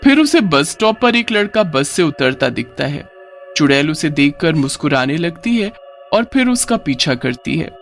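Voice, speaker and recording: A man's voice: a little high, sing-song, moderate pace, vocal fry. Male narrator for scripted moral tales: dramatic, very varied intonation, faint music bed. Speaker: story narrator.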